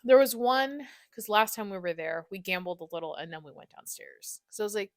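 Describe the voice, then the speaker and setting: A young adult woman hosting a podcast in a casual chat, giggly and animated as she tells stories with slangy exclamations. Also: mid-range voice, brisk, no room sound, clean studio sound. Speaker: podcast host. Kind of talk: casual chat, reminiscing with a friend.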